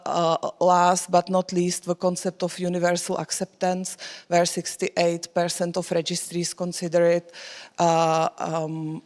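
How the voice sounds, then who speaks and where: Woman presenter, low and flat delivery, hesitant with frequent fillers. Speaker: conference presenter. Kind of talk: conference presentation.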